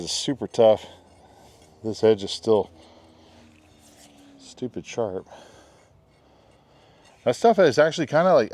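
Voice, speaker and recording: A man's voice: lowish voice, a little mellow. A middle-aged man with a head cold, chatting casually outdoors as he demos a knife and jokes along the way. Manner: chatty, jokey, says "guys" and "bam" a lot. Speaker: knife reviewer. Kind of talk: casual product demo. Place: backyard, outdoors.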